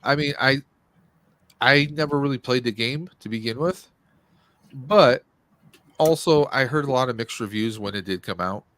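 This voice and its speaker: A man's voice: mid-range voice, normal speed, long pauses, fairly bright tone. A man in his forties hosting a casual livestream podcast, chatting informally with jokey asides. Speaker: podcast host. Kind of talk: casual livestream chat about movies and games.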